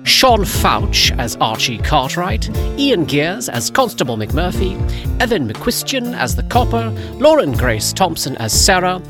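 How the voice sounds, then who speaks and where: A woman's voice: lowish voice, very animated, a little slow. A woman reading scripted podcast credits as an announcer over guitar music.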